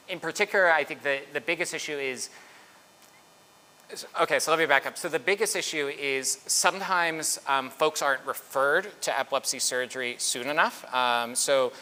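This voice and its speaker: Man in his thirties presenting research, bright halting voice, echoey hall with faint noise. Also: mid-range voice. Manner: halting, filler-heavy, restarts mid-thought. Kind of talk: conference talk with Q&A.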